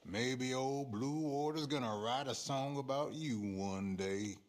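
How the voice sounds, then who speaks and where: An actor playing a shady, folksy frontier fixer in a video game, with an American accent and a knowing drawl. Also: male voice, medium pitch, slow, lightly acted. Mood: scheming, eager. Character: shady male frontier fixer, sly, folksy outlaw schemer. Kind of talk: video game cutscene acting.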